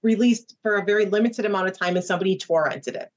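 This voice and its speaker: A woman's voice: mid-range voice, fast talker. A woman in her thirties, an actress guest chatting casually on a podcast and acting out other people's lines as she tells stories. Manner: animated storyteller acting out quotes.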